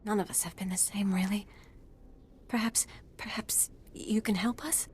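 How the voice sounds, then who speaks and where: A woman playing a weary but hopeful settler in a video game, soft and sincere. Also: mid-range voice, steady tone, moderate pace, slight background noise, lightly acted. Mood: weary, pleading. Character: weary, gentle female settler, hopeful after loss.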